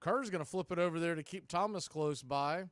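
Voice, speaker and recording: A man calls a baseball game for a fan radio stream, his fairly high voice smooth and resonant on a close, dry mic. He moves between pitch calls and casual, opinionated asides. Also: brisk, no echo. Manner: conversational, opinionated fan commentary. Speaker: fan radio play-by-play host. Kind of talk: play-by-play baseball commentary.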